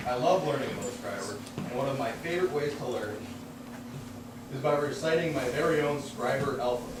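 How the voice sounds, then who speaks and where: A man's voice: mid-range voice, expressive, brisk, lightly acted. A man playing an earnest puppet host in a scripted children's show, teaching town history and putting questions to the kids. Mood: cheerful, teacherly. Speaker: puppeteer, presenter. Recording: very echoey, distant, noisy room.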